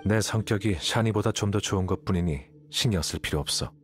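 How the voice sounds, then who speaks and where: Man as coolly self-assured young male scholar, deep voice, detached, measured, rational, anime game dialogue. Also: soft background music, close to an everyday voice. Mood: detached, blunt. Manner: measured, detached, matter-of-fact.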